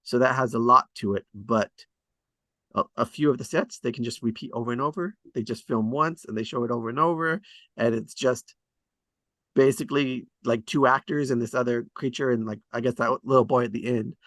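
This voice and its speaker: A man's voice: mid-range voice, brisk, a bit bright, no echo. A man hosting a table read, chatting casually and hesitantly about scriptwriting as he thinks aloud. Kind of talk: casual rambling discussion of screenwriting.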